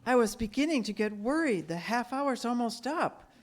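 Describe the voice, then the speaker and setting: A woman's voice: fairly high pitch, animated, normal speed, long reverb tail, natural voice. A woman playing a concerned, inquisitive female companion to a hero in a 1930s-style radio drama. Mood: relieved, worried.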